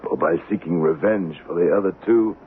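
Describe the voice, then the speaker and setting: An American actor playing an embittered, dying man of the Old West in a radio drama, grave, deliberate and formal. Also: low voice, lightly acted. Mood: bitter regret. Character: embittered, dying old western man, hard-hearted avenger. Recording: tinny vintage radio recording, faint hiss.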